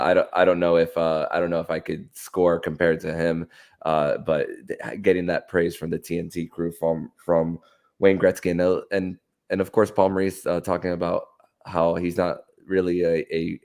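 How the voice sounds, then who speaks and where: A man's voice: deep voice, little pitch movement, darkish tone. A man hosting a sports podcast in a conversational way, often repeating words as he feels his way through sentences. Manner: halting, stammering repetition of words.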